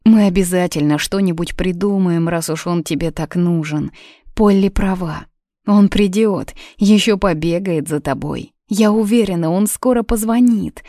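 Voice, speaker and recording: A woman's voice: fairly low pitch, normal speed, warm tone, dry recording, clean studio sound, natural voice. A woman voicing a kind young woman in an audiobook, a caring friend who speaks soothingly and reassuringly. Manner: soothing, coaxing.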